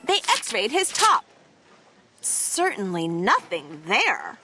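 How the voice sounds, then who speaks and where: A woman reading a children's picture book aloud, with expressive, playful and dramatic emphasis on the words. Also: mid-range voice, slow, noisy background. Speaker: read-aloud storyteller.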